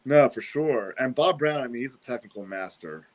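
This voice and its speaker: A man's voice: mid-range voice, animated, normal speed. A middle-aged man in casual chat who agrees often in short bursts and swears freely.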